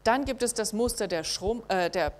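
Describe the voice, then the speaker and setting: A middle-aged woman lectures from slides in a lecture hall, at a middling pitch for a woman. She speaks in a measured way, pausing often with small fillers as she explains. The tone is academic and explanatory. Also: animated. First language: German. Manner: measured, thinking aloud with frequent fillers. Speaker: university lecturer.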